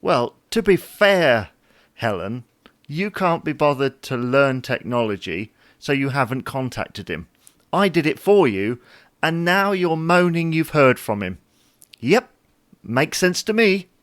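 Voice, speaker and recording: A man's voice: medium pitch, sing-song, a little slow, fairly bright tone, no echo, studio quality. A man playing a wry, matter-of-fact husband who teases his wife in a domestic comedy audio drama. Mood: teasing, weary. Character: wry, patient, mildly bickering husband glued to social media.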